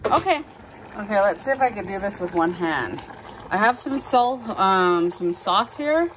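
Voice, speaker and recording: A woman in her thirties chatting casually as she cooks at home and films on her phone, filling pauses with fillers and little apologies. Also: medium pitch, animated, noisy background. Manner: chatty, self-narrating, filler-heavy, apologetic. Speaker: home cooking vlogger. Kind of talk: casual cook-along chat. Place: home kitchen, indoors.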